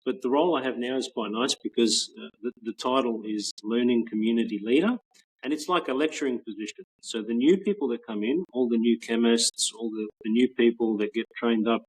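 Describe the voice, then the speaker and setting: A man around forty with an Australian accent, chatting as a guest on a video call in a relaxed, rambling way with frequent restarts. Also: medium pitch, husky, low-quality recording. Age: 40s. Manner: relaxed, rambling with many restarts and soft laughs. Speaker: guest, patent office trainer. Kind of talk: casual interview chat.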